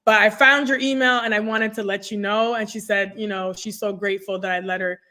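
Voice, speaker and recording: A woman in her thirties whose first language is French, telling stories as an energetic guest on a podcast recorded over a video call from home. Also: medium pitch, fairly quick. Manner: energetic storytelling, expressive hands. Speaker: guest, nurse career coach. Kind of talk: conversational podcast interview. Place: home room, indoors. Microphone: desk microphone on video call.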